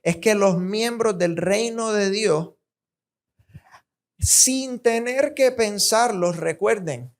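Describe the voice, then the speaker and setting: Slow, emphatic Puerto Rican woman preaching. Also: medium pitch. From Puerto Rico. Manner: emphatic, deliberate, repetitive phrasing. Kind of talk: sermon.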